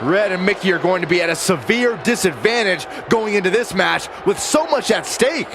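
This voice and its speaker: High-pitched wrestling commentator over roaring crowd. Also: male voice, expressive, moderate pace. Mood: emphatic. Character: concerned male wrestling colour commentator. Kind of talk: sports commentary. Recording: loud arena crowd noise.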